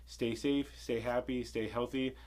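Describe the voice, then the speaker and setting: A man in his thirties, a veterinarian getting over a cold, gives a plain, measured explanation to his clinic's clients. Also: mid-range voice, steady tone, a little mellow. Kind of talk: informational clinic video.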